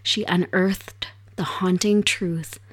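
A woman with a hoarse, raspy voice from a cold takes her time with every phrase. Her pitch sits a little low, and she talks softly and without hurry, as if reading a tale at home. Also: fairly even intonation. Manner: soft, unhurried storyteller. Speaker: podcast host. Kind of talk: casual chat and storytelling. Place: home desk set up for streaming.

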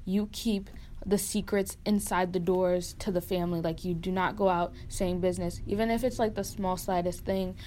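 A woman from Connecticut hosting a casual group podcast, talking in a chatty way full of "like" and animated little stories. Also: lowish voice, moderate pace, a little hiss or hum. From Connecticut, USA. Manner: chatty, lots of "like", animated storytelling. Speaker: podcast host.

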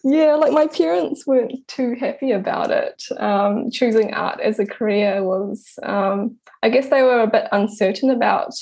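A young woman in her twenties with a New Zealand accent, an artist answering interview questions in a relaxed, hesitant way with many pauses and restarts. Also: on the high side, gravelly, slightly rough, low-quality recording. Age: 20s. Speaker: guest, artist.

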